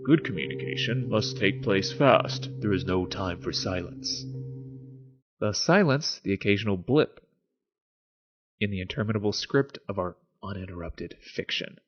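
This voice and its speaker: Low-voiced man podcasting over music. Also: animated, moderate pace, husky. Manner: conversational lecturing, rhetorical check-ins. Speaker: podcast host. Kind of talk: explanatory philosophy podcast monologue with read-out essay passages. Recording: dull lo-fi audio over music bed. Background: background music.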